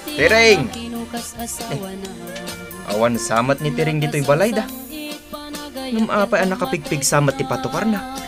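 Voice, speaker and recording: A woman's voice: sits low, lively intonation, normal speed, pauses often, music in the background. A woman acting in an Ilocano radio drama as a visiting in-law, calling out and mildly scolding. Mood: calling, inquiring. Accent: Ilocano, northern Philippines. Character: visiting in-law calling out and grumbling about loud music.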